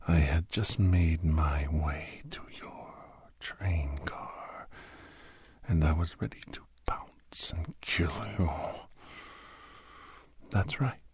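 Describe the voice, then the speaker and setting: Sinister captor doctor for ASMR drama: extremely low, slow, breathy half-whisper, menacingly courteous. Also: male voice, dry recording, exaggerated voice. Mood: cold gloating. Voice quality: breathy, husky near-whisper. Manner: slow, drawn-out, mock-polite menace. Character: menacing villainous doctor, obsessed captor, coldly gloating.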